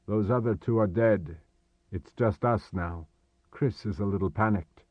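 Man playing a calm, low-voiced male adventurer. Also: no echo, highs cut off. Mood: grim, matter-of-fact. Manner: calm, measured, terse. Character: steady, commanding male adventurer calming companions. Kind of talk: audiobook character dialogue.

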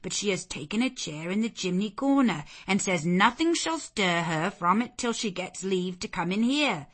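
A woman's voice: medium pitch, expressive, moderate pace, fairly bright tone, voice pushed up. Woman acting a plain-spoken, deferential footman. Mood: flustered, respectful.

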